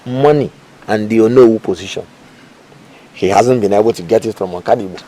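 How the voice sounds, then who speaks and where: A man's voice: fairly low pitch, mellow, lightly acted, low-quality recording. An actor playing a humble, principled nephew, speaking respectfully and earnestly to his uncle in a film drama. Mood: earnest, explaining. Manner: measured, earnest, deliberate.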